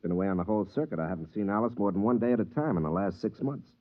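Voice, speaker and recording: An actor playing a young, earnest and stubbornly proud golf pro in a 1950s radio drama. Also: male voice, on the low side, racing, close to an everyday voice. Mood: rueful, cold anger. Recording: thin, narrow old radio recording.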